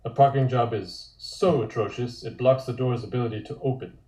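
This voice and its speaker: Mellow-voiced man narrating, echoey room. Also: medium pitch, a little fast. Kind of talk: reading comic panel directions at a table read.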